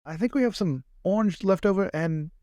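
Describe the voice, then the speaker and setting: A man's voice: high-pitched, a little fast, darkish tone, dry recording, voice pushed up, natural voice. Man playing a fussy bodyguard, very dry sound. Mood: fussy, domestic. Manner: chatty, fussy, drily teasing. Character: fussy male bodyguard, former fencing professor. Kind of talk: improvised tabletop roleplay.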